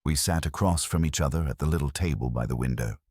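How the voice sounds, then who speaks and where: A man's voice: very deep voice, fast, darkish tone, husky, dry recording. A man narrating a first-person detective story in a wry, dry-humoured way. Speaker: audiobook narrator.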